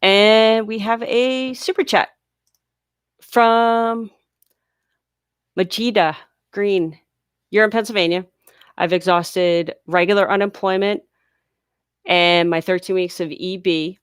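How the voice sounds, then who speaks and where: A woman's voice: mid-range voice, fairly even intonation, slow, long pauses, smooth, no echo, clean studio sound. A woman hosting a casual live Q&A, reading viewer questions aloud and explaining them patiently, her voice congested from blocked sinuses. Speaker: livestream host answering viewer questions. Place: home near a large US city.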